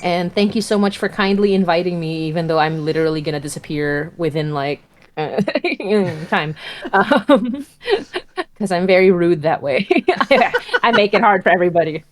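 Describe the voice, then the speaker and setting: A woman's voice: on the low side, expressive, normal speed, no room sound. A woman guest chatting casually in a joking, self-deprecating way on an online call. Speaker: guest podcast creator. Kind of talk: casual chat.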